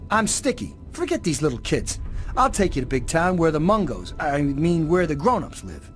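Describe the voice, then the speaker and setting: Eager, whiny teen boy for a video game; chatty, naive, very animated delivery, music underneath. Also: a little high, moderate pace. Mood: eager, persuasive. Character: eager, whiny teenage boy, newly exiled.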